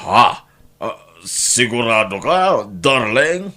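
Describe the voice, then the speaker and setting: An actor playing a curt, domineering, unfaithful husband in a radio drama. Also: male voice, mid-range voice, sing-song, slow pace, bright, forceful tone, full character voice.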